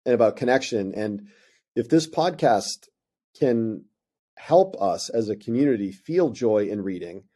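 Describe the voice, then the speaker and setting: Male co-host, 30s, Northern US accent; earnest reflective talk, dark relaxed timbre. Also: mid-range voice, breathy edge. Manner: earnest, restarts phrases often. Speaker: podcast co-host, high school English teacher. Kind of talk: reflective monologue.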